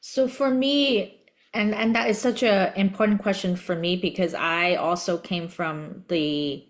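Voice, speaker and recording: A woman's voice: mid-range voice, steady tone, measured pace. A woman from Hong Kong whose native language is Cantonese, speaking English as an interviewer in a reflective conversation.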